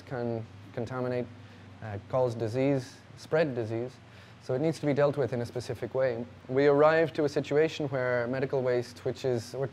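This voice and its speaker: A man's voice: mid-range voice, darkish tone, a little hiss or hum. A man in his twenties from France, explaining his waste management work in an interview.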